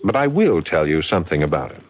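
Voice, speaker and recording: An actor playing a smooth, secretive middleman in a 1970s radio drama, probing and insinuating in a measured way. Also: very deep voice, sing-song, full character voice. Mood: coyly secretive. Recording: dull lo-fi 1970s radio broadcast.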